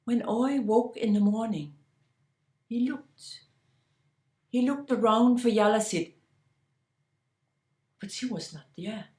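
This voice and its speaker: A woman telling a fairy tale slowly and deliberately, sometimes dropping to a hushed whisper. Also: on the high side, roomy sound. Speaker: storyteller. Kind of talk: oral fairy-tale storytelling.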